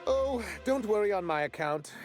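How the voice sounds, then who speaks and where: Theatrical vain executive, florid and suggestive, swooping lively pitch, game soundtrack behind. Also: male voice, moderate pace, music in the background. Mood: grandiloquent. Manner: theatrical, florid and innuendo-laden. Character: flamboyant vain male corporate executive.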